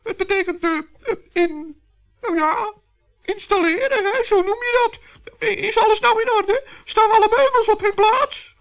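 Good-natured little forest gnome, extremely high, earnest, on a muffled old recording. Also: male voice, unhurried, soft background music. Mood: flustered. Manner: good-natured, eager, slightly flustered. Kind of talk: children's radio play acting. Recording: muffled vintage 1960s radio recording.

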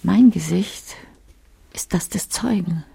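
An actress playing a bitter, brooding older wife in a literary radio drama monologue, with a husky, creaky voice and sardonic delivery. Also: female voice, fairly low pitch, slow, noisy background, full character voice.

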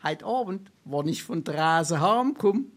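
An actor playing a boozy, penniless charmer in a Darmstadt dialect stage comedy, florid and ingratiating, with some stammering. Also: male voice, a little high, lively intonation, slow pace, loud music behind the voice. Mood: wheedling. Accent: Darmstadt Hessian. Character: boozy, penniless, wheedling charmer, a theatrical scrounger.

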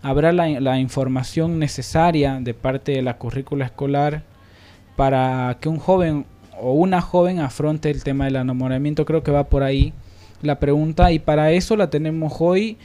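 A man's voice: a little high, normal speed, mellow. A man hosting a radio talk show, reading out messages and introducing guests. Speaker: radio host.

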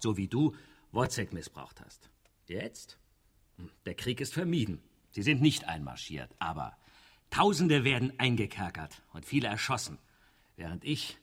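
An actor playing a disillusioned, sardonic rebel union founder in a radio drama, with dry irony and a husky voice. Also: male voice, fairly low pitch, heavily acted. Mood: bitter indignation. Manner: rhetorical, dryly ironic, deliberate repetitions.

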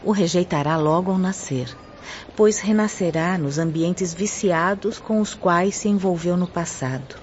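A woman acting a serene, solemn spirit nun in a radio drama, with a Brazilian accent and measured, pious delivery. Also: fairly low pitch, a little mellow, lightly acted. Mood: solemn, prophetic. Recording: muffled, noisy lo-fi.

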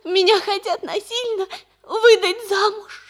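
Lovelorn young daughter, 1960s radio comedy: extremely high voice, sweet, pleading and melodramatic. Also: female voice, steady tone, unhurried. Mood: tearful, distressed. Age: young adult.

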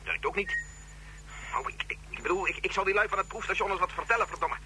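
A man's voice: high-pitched, quick pace, background noise, close to an everyday voice. An actor playing a pedantic, flustered physicist father in a science-fiction radio play, with stiff formal wording and stammered self-corrections. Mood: flustered, annoyed.

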